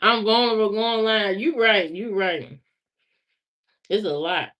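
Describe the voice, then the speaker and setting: A woman's voice: mid-range voice, expressive, a little slow, a bit bright. A woman from Indiana chatting casually on a livestream, answering viewer comments and repeating herself as she talks back to them. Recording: slightly muffled lo-fi stream audio.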